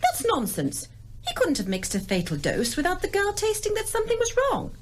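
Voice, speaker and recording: An actress playing a stubborn, sceptical English grown-up daughter in a radio drama, tart and contradicting. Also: female voice, very high voice, huge pitch swings, a little fast, slight background noise, heavily acted. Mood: dry, sceptical.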